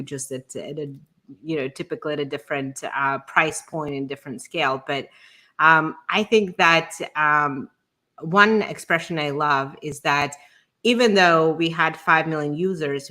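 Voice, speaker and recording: A woman's voice: deep voice, pushed and bright. A woman co-hosting a live stream, giving conversational startup advice as a founder. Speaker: show co-host and startup founder.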